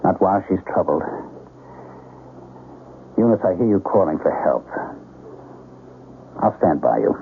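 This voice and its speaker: Knowing psychic man, low-pitched, expressive swings, solemn and insistent, old radio play. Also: a little slow, vocal fry, long pauses, slight background noise, full character voice. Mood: soothing, solemn. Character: serene, mysteriously knowing man who reads minds. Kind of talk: 1970s radio drama acting. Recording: dull lo-fi old radio broadcast.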